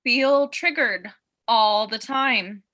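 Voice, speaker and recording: A woman's voice: a little high, drawn-out words, bright, forceful tone, heavily acted. A woman acting a wry, frazzled character stuck in lockdown, in a staged reading of a play.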